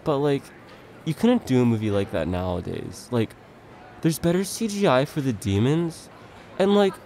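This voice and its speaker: A man playing an excitable but laid-back slacker dude, an earnest movie buff rambling in slangy speech through a comic stage monologue. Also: medium pitch, slight background noise. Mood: musing, dismissive. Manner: rambling slangy dude-speak with wondering pauses.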